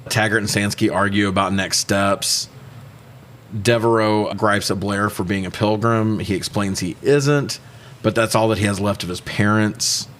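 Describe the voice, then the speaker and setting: Low-voiced man, irreverent podcast banter. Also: steady tone, normal speed, a bit bright. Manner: irreverent, joking, profane. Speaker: podcast co-host. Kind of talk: casual movie recap banter.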